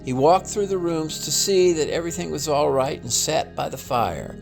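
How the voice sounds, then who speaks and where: A man reading a classic tale aloud as scripted narration, theatrical and deliberate in his delivery. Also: fairly high pitch, expressive, vocal fry, faint music behind, poor audio. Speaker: storybook reader.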